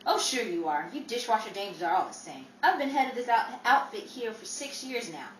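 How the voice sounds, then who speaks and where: A woman's voice: fairly high pitch, big pitch swings, fairly quick. A woman acting a jealous, combative veteran dance-hall girl, sneering and slangy, in 1930s radio drama style. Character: jealous, catty veteran dime-a-dance girl, quick to fight. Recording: echoey, distant mic with faint noise.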